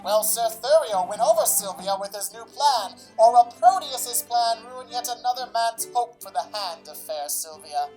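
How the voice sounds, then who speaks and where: A woman with a slightly high voice acts as announcer for a radio drama. She reads scripted narration in a playful old-time serial manner, with faint music behind her. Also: animated, normal speed, hardly any pauses, dark tone, poor audio.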